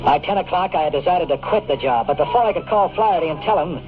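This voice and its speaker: A hard-boiled, sardonic male detective, played by a radio actor, talks fast in a slightly high register. His slangy noir delivery is weary and tough. It sounds like a thin, phone-like old radio recording with music behind it. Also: animated, natural voice. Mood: wry, brooding. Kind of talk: 1950s radio drama acting, noir first-person narration.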